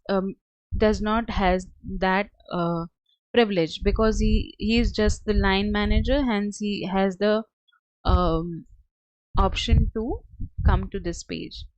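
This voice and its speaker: A woman narrating a step-by-step software tutorial as a trainer, with a halting, hesitant delivery. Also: mid-range voice, no echo, low-quality recording.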